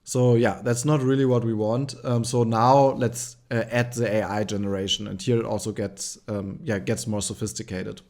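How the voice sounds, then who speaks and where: A man with a German accent hosting a relaxed screen-share tutorial, thinking aloud with frequent ums and likes. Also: on the low side, moderate pace, hardly any pauses. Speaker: tutorial host.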